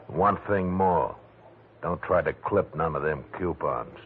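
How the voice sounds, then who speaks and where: An actor playing a menacing, mockingly polite ex-convict gangster with an American accent, in slangy 1940s radio acting. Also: male voice, sits low, slow. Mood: sly, warning. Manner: taunting, slangy tough-guy talk. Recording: tinny 1940s radio recording, faint noise.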